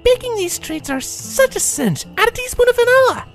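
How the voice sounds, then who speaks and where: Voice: very high voice, sing-song, slow pace, a bit bright, faint music behind, voice pushed up, heavily acted. A narrator voicing a hyperactive, bubbly young female pony in a chirpy, singsong way for a cartoon-based story. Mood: bubbly, singing.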